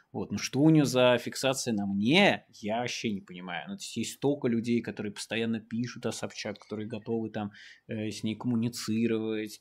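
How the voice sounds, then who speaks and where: A man's voice: on the low side, creaky. A man co-hosting a live stream, talking in a rambling, ironic way with many fillers. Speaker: stream co-host. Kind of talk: casual political chat.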